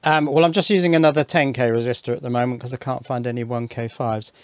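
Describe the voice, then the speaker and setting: Male electronics hobbyist, medium pitch, casual and musing, thinks aloud with muttered self-questioning asides. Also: steady tone, fast talker, no echo. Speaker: electronics hobbyist video maker. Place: indoors at a workbench.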